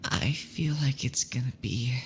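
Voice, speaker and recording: A woman playing a reserved, level-headed young investigator in an animated murder mystery, understated and considerate. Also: a bass voice, a little slow, whispered.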